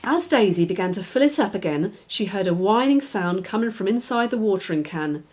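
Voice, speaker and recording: A woman narrating a children's storybook from a script, expressive and clearly phrased for young listeners. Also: medium pitch, brisk, slight background noise. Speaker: children's author, reader.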